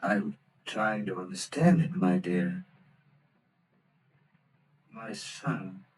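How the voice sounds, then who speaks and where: An actor playing a gentle, grieving father of modest means, speaking softly and haltingly, in old-time radio drama style. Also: sits low, slightly rough, exaggerated voice. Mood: sad, gentle. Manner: soft, subdued, halting. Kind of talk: 1940s radio acting.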